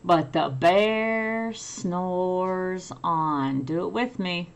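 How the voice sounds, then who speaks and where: A woman reading a children's picture book aloud with drawn-out, emphatic, expressive storytelling. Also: lowish voice, clear, resonant tone.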